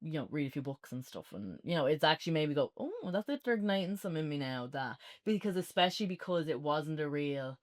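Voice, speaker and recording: A woman's voice: deep voice, sing-song, fairly quick, hardly any pauses. A woman with an Irish accent co-hosting a casual, unscripted review, chatting informally and often saying "like". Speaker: podcast co-host and reviewer.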